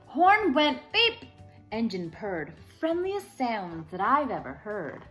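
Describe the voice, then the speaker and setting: A woman hosting a children's story time, reading a picture book aloud in an expressive, sing-song way. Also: fairly high pitch, takes it slowly, soft background music.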